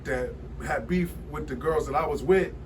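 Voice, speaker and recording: A man's voice: fairly high pitch, normal speed, far from the mic, background noise. A man in his forties telling stories in a casual studio conversation, rambling with lots of fillers and restarts. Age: 40s. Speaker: guest.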